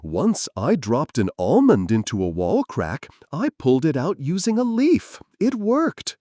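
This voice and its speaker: A man voicing a clever, proud squirrel in a children's fable, upbeat and animated as it shares a success. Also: fairly high pitch, unhurried, no echo, clean studio sound, pitched above the performer's own voice, exaggerated voice.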